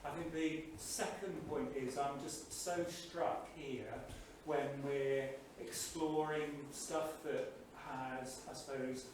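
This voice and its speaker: A man in the audience giving an informal, reflective comment in a halting, tentative way, thinking aloud. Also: medium pitch. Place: indoor event room, without microphone. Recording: distant, very echoey, noisy room pickup.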